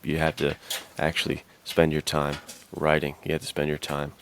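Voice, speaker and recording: A man's voice: extremely low pitch, completely flat delivery, normal speed, a little hiss or hum. A man co-hosting a podcast, talking casually and informally with plenty of slang. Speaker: podcast co-host.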